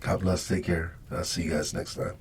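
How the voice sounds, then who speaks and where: Middle-aged man, deep voice, unhurried hesitant rambling, soft-spoken ASMR host, faint-to-clear background noise. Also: level tone. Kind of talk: ASMR unboxing and casual rambling.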